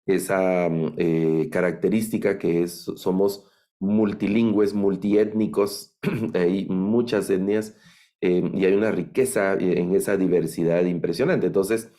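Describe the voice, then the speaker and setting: A middle-aged man with a Guatemalan accent giving an online lecture on biblical languages, thoughtful and halting, with many fillers and restarts. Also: low voice, fairly even intonation, dark tone. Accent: Guatemalan Spanish. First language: Spanish. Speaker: Bible language instructor.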